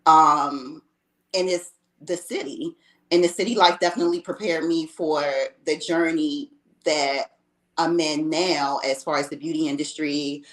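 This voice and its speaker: Woman guest from washington d.c., casual, low voice, echoey room, video call. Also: fairly even intonation, unhurried. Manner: casual, laughs easily, calls host girl. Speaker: guest, makeup artist.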